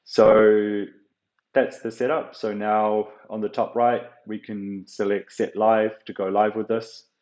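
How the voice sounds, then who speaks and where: A man presenting a software tutorial as an unscripted screen-share walkthrough, halting often with ums and uhs. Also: on the low side, flat delivery. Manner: hesitant, halting explanation with frequent ums and uhs. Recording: roomy, echoing, slightly dull sound.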